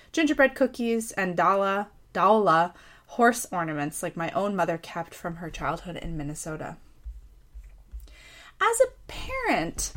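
An American woman in her thirties reads a scripted personal essay aloud. Her voice sits at a medium pitch, and she tells it like a story, measured but expressive. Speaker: writer reading own essay.

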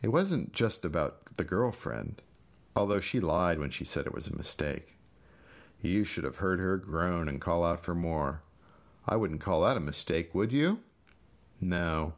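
A man's voice: sits low, lively intonation, unhurried. A man voicing a vengeful, sadistic storybook character who taunts his helpless brother with mocking, menacing questions. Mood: gloating, raging. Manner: mocking, menacing, sing-song rhetorical questions.

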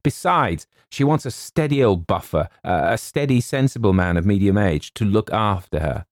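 A man voicing a flustered young English gentleman in an audiobook, polite but spluttering and quick to protest. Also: lowish voice, animated, no room sound, studio quality, pitched above the performer's own voice, lightly acted. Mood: exasperated, protesting. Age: young adult. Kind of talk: theatrical audiobook character voice.

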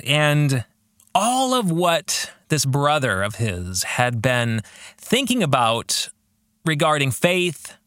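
A man's voice: a little high, sharp tone, no room sound, clean studio sound. A man hosting a podcast, giving reflective religious commentary on his book in an earnest, preacherly way with emphatic phrasing. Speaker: podcast host and author discussing his own novel.

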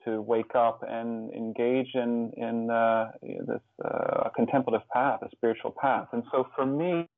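A man being interviewed as a guest, answering reflectively in a halting, thoughtful way with pauses and repeated words. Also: mid-range voice, fairly even intonation, vocal fry, no room sound, phone-quality sound. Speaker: interview guest, author and hospice volunteer.

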